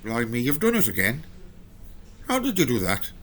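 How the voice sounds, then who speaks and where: A man's voice: medium pitch, wildly swooping pitch, normal speed, fairly bright tone. A teasing older chap over a chessboard in a radio play acted by a man, faint room noise about him. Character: teasing, friendly older male chess partner. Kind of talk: scripted audio drama dialogue.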